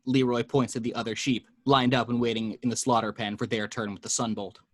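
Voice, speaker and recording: A man reads a screenplay's action lines at home with vivid, measured delivery. His voice sits in a middle range and sounds dry and close, with no room echo. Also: mid-range voice, little pitch movement. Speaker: narrator reading action lines. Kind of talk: screenplay reading.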